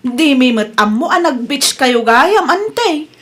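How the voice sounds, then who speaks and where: A woman's voice: high voice, very animated, slow, fairly bright tone, exaggerated voice. A woman playing a curious, puzzled young cousin with an Ilocano accent in a Filipino radio comedy drama.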